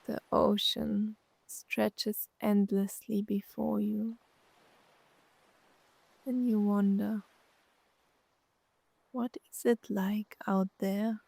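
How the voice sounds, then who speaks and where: A woman narrating a scripted guided meditation, gently soothing, speaking in short phrases with long pauses. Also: mid-range voice, little pitch movement, gravelly, breathy edge, dry recording, rough recording.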